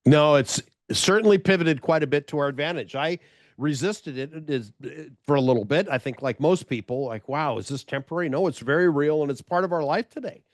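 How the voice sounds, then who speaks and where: An American man hosts a casual interview over a video call. He talks in a chatty, rambling way and often restarts his sentences. His voice sits a little high and sounds dry and close. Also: fairly quick, dry recording. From United States. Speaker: podcast host.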